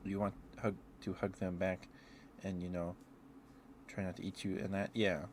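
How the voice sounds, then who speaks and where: A man's voice: sits low, level tone, normal speed, noisy background. A man doing a casual ASMR ramble as a livestreamer, playfully musing aloud and letting thoughts trail off.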